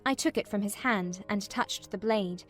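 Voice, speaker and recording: A woman voicing a despairing, proud and weary young gentlewoman who tells her story expressively in a dramatized novel reading. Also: medium pitch, moderate pace, faint music behind, close to an everyday voice. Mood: intrigued. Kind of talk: dramatized audiobook narration of a classic novel, in first person.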